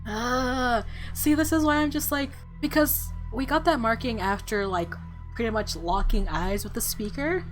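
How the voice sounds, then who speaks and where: A woman giving casual, rambling, excitable commentary on a game as she plays. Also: fairly high pitch, normal speed, poor audio. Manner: rambling, excitable, laughs often. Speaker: game streamer. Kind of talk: casual playthrough commentary and reading aloud. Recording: music playing behind voice. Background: visual novel game music.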